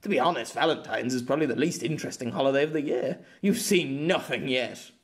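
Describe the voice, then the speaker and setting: A man acts out a fond, bashful young man in an audiobook romance. He talks in a chatty, teasing way, eager to impress. The pitch is an ordinary male one. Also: fast talker, close to an everyday voice.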